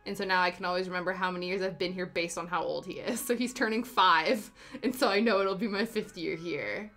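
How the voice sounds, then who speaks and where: A woman's voice: lowish voice, steady tone, a little fast, fairly bright tone. A young woman from Canada chatting casually with viewers on a live stream, answering chat with giggly asides. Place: home, living in the UK. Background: faint background music.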